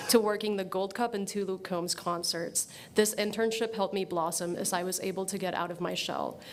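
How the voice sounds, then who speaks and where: A woman's voice: mid-range voice, slight background noise. A woman in her twenties from Puerto Rico, giving an earnest, thankful prepared speech at a podium. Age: 20s. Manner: earnest, thankful, measured delivery. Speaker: scholarship graduate giving a speech. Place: event hall at a podium.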